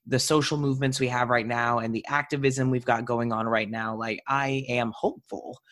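A man's voice: mid-range voice, flat delivery, moderate pace, fairly bright tone. Male podcast host chatting casually.

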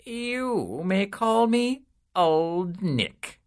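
A man's voice: high voice, very animated, drawn-out words, voice pushed up, heavily acted. A man doing a sly, cheery devil. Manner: cheery, drawling, ingratiating. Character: sly, cheery male devil, smooth-talking.

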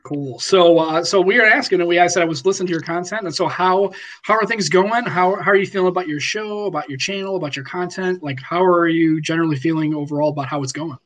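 Fast-talking male podcast host. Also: high voice, fairly bright tone. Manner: fast and rambling, asks questions with many restarts. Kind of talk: casual podcast conversation. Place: home room lit by daylight.

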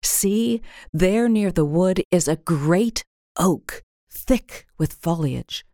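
A gracious lady of the castle voiced by a woman reader from a storybook. She speaks sweetly and formally, welcoming and encouraging, at an unhurried pace. The sound is dry and close. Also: lowish voice, animated, dark tone, dry recording. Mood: gently urgent.

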